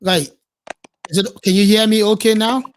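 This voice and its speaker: A man's voice: sits high, fairly even intonation, moderate pace. A male radio host interviewing a guest remotely, encouraging with short affirmations.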